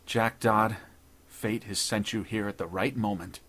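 A man's voice: fairly low pitch, fairly even intonation, a bit bright. Man acting a grave, proud, desperate judge. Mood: earnest, grave. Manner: formal, deliberate, earnest speech.

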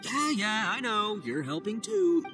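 A brash horned oni gang leader blusters and boasts in a bright, punchy voice. His pitch swings wildly as he shouts, with game music in the background. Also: wildly swooping pitch, a little slow, exaggerated voice. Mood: joking, caring. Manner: loud, swaggering, blustering. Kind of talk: game cutscene voice acting.

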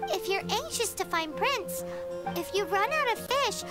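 A woman's voice: very high voice, sing-song, unhurried, over loud music, exaggerated voice. A woman voicing a tiny, chirpy, childlike floating fairy companion in a video game. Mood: instructive.

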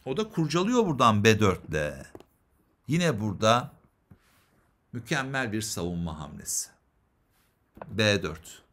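Animated man explaining chess moves. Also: on the low side, a little slow, long pauses. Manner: explanatory, addresses viewers with rhetorical questions. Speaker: chess instructor, commentator. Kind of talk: chess game analysis commentary.

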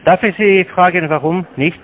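Polite salesman guy on a phone call, bit high voice, dull lofi sound. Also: normal speed, slight background noise. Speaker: call centre scam caller. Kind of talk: scripted phone sales pitch.

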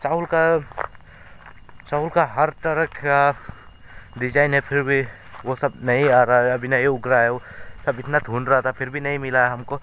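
A young man from Meghalaya with a Northeast Indian accent, explaining things casually in a halting, repetitive way for an outdoor vlog. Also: medium pitch, fairly even intonation, a bit bright, slight background noise. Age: young adult. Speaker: vlogger. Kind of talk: casual walk-and-talk vlog. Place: outdoors in open rice fields.

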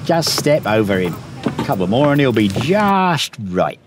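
An actor playing a boastful, cruel pirate captain in an audio drama, pompous and gloating. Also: male voice, mid-range voice, big pitch swings, measured pace. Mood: commanding. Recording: noisy ambience behind the voice.

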